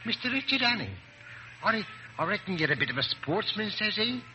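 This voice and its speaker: A man's voice: high voice, sing-song, a little fast, background noise. An actor playing a chatty working-class London milkman, telling his story in a plain, folksy way in 1930s radio drama style. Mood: explaining.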